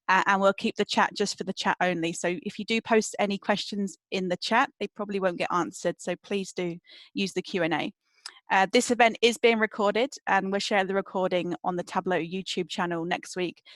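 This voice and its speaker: A woman hosting and introducing an online community event over a video call, brisk and organized, with frequent fillers. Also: medium pitch, no echo. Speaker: event host.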